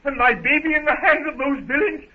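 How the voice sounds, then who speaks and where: An actor playing a frail, distraught elderly professor and doting father in a 1930s radio serial, halting and quavering. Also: very high-pitched, steady tone, phone-quality sound. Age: older. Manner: flustered, halting, repetitive. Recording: scratchy, thin 1930s radio recording.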